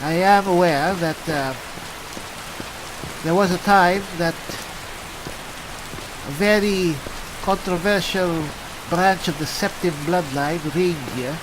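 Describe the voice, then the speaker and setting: A man playing a chatty, pompous adventuring cleric in an improvised fantasy game roleplay, halting and musing theatrically with dry humour. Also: high voice, background noise. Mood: solemn, musing. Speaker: gamer playing a character.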